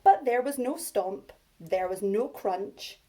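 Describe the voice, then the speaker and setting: Woman, primary teacher, unhurried, soft mellow tone, child-directed storytelling. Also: mid-range voice, animated. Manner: simple, child-directed delivery. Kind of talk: expressive children's storybook reading and informal address to pupils.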